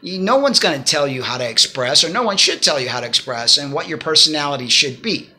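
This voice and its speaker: Fifty-something guy chatting into his phone at home, bright edgy voice, rambly and earnest. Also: on the high side, animated, fast talker, husky. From Cuba. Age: 50s. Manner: rambling, earnest, advice-giving. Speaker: vlogger. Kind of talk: casual talk to camera. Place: small home living room, indoors. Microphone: phone or laptop camera at arm's length.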